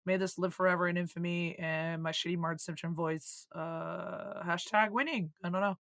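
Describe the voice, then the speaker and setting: A hoarse, croaky woman co-hosting a casual podcast, swearing and joking loosely. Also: lowish voice, fairly even intonation, normal speed, a bit bright, no room sound, clean studio sound. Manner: swearing, joking, laughing often. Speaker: podcast co-host.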